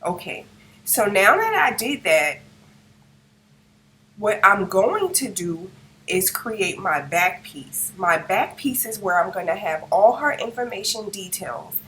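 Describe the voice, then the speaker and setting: A hoarse woman narrating a step-by-step design tutorial, describing each click as she works and checking in with the viewer. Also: medium pitch, sing-song, slow pace, bright, forceful tone, a little hiss or hum, poor audio. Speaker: crafting tutorial host. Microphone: no microphone, device's built-in mic.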